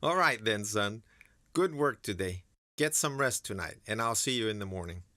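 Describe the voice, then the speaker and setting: A man playing a kindly middle-aged blacksmith mentor in an animated drama, gentle, earnest and gravelly. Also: fairly low pitch, moderate pace, no room sound, clean studio sound. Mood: warm, reassuring. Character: kindly older male blacksmith, fatherly mentor. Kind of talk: dramatic animated series acting. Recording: voice over clear background music.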